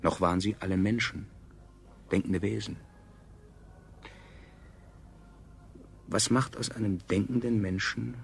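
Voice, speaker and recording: An actor playing a sober chronicler who narrates a science-fiction radio play in a measured, detached, reflective way. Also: male voice, deep voice, vocal fry, a little hiss or hum. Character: sober, grave male chronicler of a space colony.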